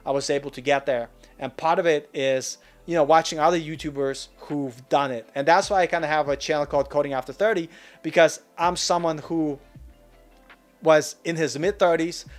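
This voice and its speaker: A man in his forties hosting a live Q&A, talking frankly and earnestly in a chatty way with lots of fillers. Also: fairly high pitch. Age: 40s. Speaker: channel host. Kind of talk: live Q&A advice chat.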